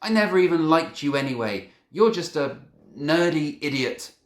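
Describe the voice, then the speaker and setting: A man voicing an indignant, pleading schoolboy who protests loudly and stammers. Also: a little high, expressive, moderate pace, pitched above the performer's own voice, close to an everyday voice. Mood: angry. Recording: echoey room.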